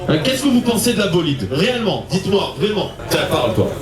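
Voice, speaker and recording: A man's voice: high voice, very animated, fast talker, a loud crowd. A man hosting at a car event, playfully quizzing and teasing people. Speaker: event host. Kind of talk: quizzing the crowd. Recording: echoey with music.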